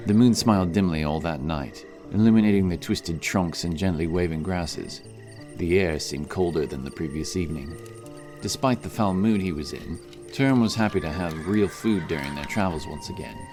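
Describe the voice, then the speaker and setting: A man reading scripted fantasy narration as a dramatic audiobook narrator. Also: sits low. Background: music.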